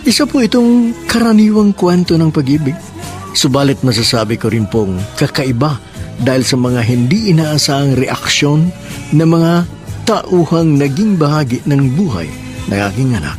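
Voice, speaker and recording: A man's voice: on the high side, big pitch swings, moderate pace, darkish tone, soft background music. A man hosting a radio drama program, reading poetic lines and a listener's letter in a solemn narrating style.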